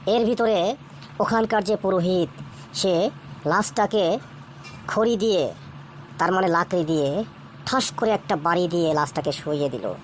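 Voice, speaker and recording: Woman doing village uncle in a cartoon telling ghost stories, suspenseful, kinda low, bit of background noise. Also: unhurried, long pauses, close to an everyday voice. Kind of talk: storytelling in a cartoon.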